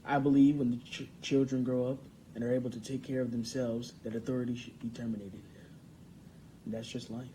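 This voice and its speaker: A man's voice: mid-range voice, level tone, a little fast, warm tone, slight background noise, lightly acted, poor audio. A man playing a solemn philosopher who lectures on liberty, reading his lines aloud.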